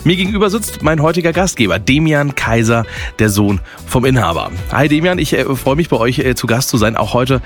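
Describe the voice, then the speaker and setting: Man, radio presenter, upbeat, hesitant ums, soft music under. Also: mid-range voice, sing-song, fairly quick. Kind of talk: casual radio feature interview.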